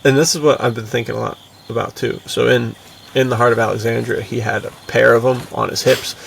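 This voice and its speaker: Gravelly, slangy guy chatting. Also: medium pitch, fairly even intonation, fairly quick. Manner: slangy, bro-ish, full of "dude" and "like". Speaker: podcast co-host. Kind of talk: casual, enthusiastic chat. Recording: distant mic with faint background noise.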